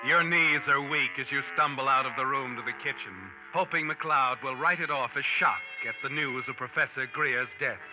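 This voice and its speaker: A man's voice: medium pitch, normal speed, few pauses, natural voice. An actor playing a sinister, all-knowing male narrator who taunts the listener in the second person, in an old radio drama. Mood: ominous. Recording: dull vintage radio recording with music.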